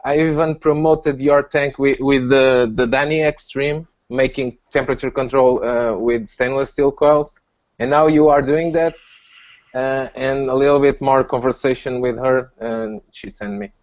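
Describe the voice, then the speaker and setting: A man's voice: mid-range voice, steady tone. Portuguese guy on a video call, stop-start english, laughs a lot, kinda muffled audio. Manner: halting, searching for words, laughing often. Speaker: guest reviewer. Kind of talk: casual chat on a video call.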